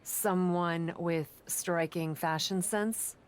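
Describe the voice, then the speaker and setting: Defensive, dry-witted wrestler. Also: female voice, fairly low pitch, fairly even intonation, slow, clear, resonant tone. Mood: deadpan, dry. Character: brutal, defensive female pro wrestler with dry wit. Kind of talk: video game cutscene acting.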